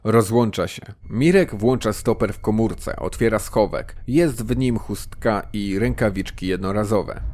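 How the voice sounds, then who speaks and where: Low-voiced male crime story narrator. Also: unhurried, few pauses, darkish tone. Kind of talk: scripted crime drama narration.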